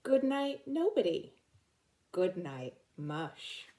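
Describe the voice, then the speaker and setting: A woman's voice: lowish voice, big pitch swings, slow, mellow, slight background noise. A middle-aged woman reading a picture book aloud to children, talking simply and directly to her young listeners. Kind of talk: read-aloud storytime for children.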